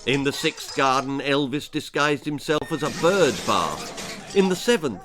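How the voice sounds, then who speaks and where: A man's voice: mid-range voice, animated, unhurried. An English man reading his own comic children's story aloud as a dramatic narrator who relishes each detail.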